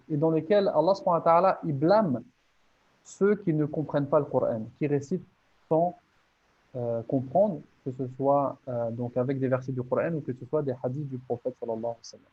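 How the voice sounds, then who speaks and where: A man's voice: mid-range voice, narrow, tinny audio. A man teaching, presenting his online course step by step in an earnest, motivating way with many small restarts.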